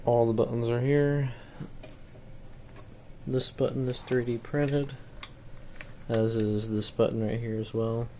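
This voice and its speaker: A man's voice: medium pitch, steady tone, slow pace, pauses often, mellow. A man giving a casual, matter-of-fact walkthrough of equipment he is selling, plain-spoken, in a noisy home-video recording. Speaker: seller demonstrating equipment.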